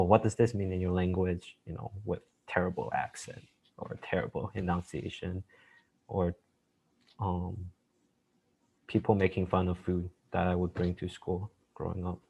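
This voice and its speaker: A man from Malaysia speaking softly and hesitantly on a headset during a video call, with many fillers. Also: low voice, creaky, slightly rough, lo-fi sound. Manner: soft, hesitant, many fillers, trailing off quietly. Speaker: panelist. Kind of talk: casual discussion on a video call. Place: home room.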